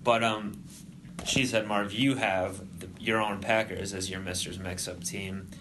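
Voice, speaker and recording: A man's voice: lowish voice, level tone, a little slow, background noise. A young man hosting a casual sports podcast, normally laid back but trying to bring extra energy. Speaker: podcast host.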